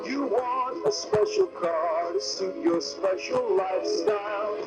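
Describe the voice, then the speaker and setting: Loud music runs under a man reading a punchy radio advertisement. His high-pitched delivery leaps dramatically and moves at a measured pace. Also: very animated, vocal fry, rough recording. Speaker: commercial announcer.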